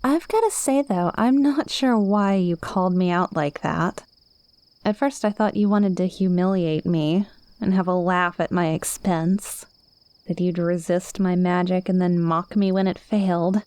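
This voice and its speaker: A woman's voice: on the low side, measured pace, a little mellow, clean tone, no room sound, lightly acted. A woman playing a cute, playful witch in an ASMR roleplay, speaking confidently and coaxingly straight to the listener. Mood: earnest, coaxing. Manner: conversational, teasing, coaxing.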